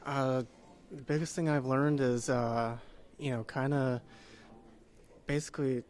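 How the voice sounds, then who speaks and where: Polite young man, flat drawl. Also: medium pitch, unhurried. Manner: polite and soft-spoken, says yes sir, many fillers. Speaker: guest, group sales consultant. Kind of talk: casual interview.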